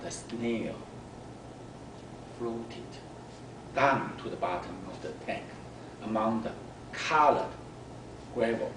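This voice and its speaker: A man reading a children's story aloud to a club, with deliberate, halting delivery. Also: mid-range voice. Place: club meeting room. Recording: roomy, distant mic, faint noise.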